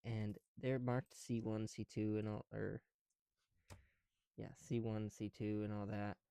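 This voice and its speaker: A man chatting informally as an ASMR creator, sweary and teasing, drifting between soft murmurs and louder talk, breathing audibly through a thick mask. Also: lowish voice, little pitch movement, measured pace, creaky, clear, resonant tone, dry recording. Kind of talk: casual first-person ASMR build chat. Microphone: close mic, speaking through a mask.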